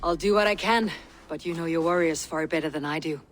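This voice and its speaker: An actress playing a loyal, probing Viking warrior in a video game, speaking in a terse, level manner. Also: female voice, sits low, brisk, a little hiss or hum, close to an everyday voice. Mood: steady, loyal. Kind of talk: dramatic video game cutscene acting.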